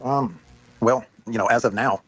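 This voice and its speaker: A man's voice: mid-range voice, moderate pace, a bit bright, husky, no echo, poor audio. A man talking as a panelist in a casual online discussion.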